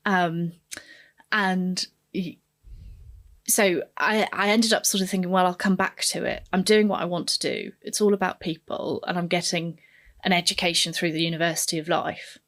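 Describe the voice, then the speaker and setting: A woman's voice: fairly low pitch, fairly even intonation, normal speed, fairly bright tone. A woman in her forties from Kenya, a podcast guest candidly reflecting on her career path. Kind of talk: podcast interview, telling her life story.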